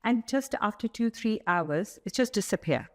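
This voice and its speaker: A woman's voice: medium pitch, animated, moderate pace. A woman hosting a podcast, asking short questions and often affirming what her guest says. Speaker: podcast host. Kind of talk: interviewing a guest.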